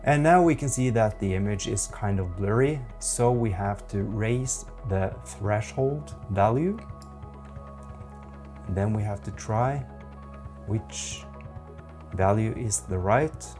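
A man in his forties with a Norwegian accent, giving a software tutorial in a methodical, halting way. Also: on the low side, faint music behind. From Norway. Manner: slow, methodical, halting explanations. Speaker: photography instructor.

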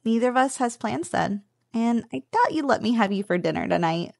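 A woman's voice: fairly high pitch, big pitch swings, a little fast, vocal fry, dry recording, clean studio sound, full character voice. A woman playing a playful, teasing slime girl roommate in a casual, chatty ASMR roleplay. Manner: teasing, chatty, conversational with chuckles. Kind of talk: ASMR roleplay, one-sided casual conversation.

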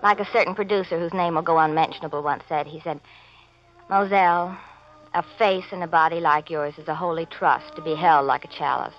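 A woman's voice: sits low, a little fast, soft background music, natural voice. Woman playing a vain beauty queen, dull old recording. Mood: self-important. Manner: gushing, affected, mangles big words. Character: vain, dim, self-important young beauty queen name-dropper. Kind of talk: 1940s radio acting. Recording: dull vintage radio recording.

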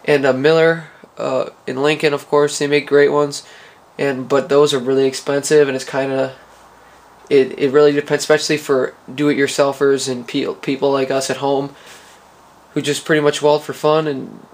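A man casually talking through welding gear in a garage workshop, relaxed, with lots of uh fillers. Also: medium pitch, moderate pace. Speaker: hobby welder showing gear. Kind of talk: casual how-to vlog. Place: garage workshop with concrete floor.

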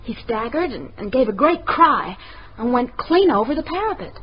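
A woman's voice: fairly high pitch, sing-song, moderate pace. An actress playing a strong-willed young woman in a radio mystery, direct, articulate and insistent. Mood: earnest, defiant. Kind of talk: radio mystery drama acting. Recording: muffled radio recording, faint noise.

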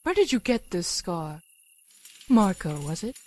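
A woman playing a manic, obsessively curious military scientist in an audio drama, with an excitable, theatrical delivery. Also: mid-range voice, unhurried. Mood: probing. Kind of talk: amateur audio drama acting. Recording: dramatic music under the voice.

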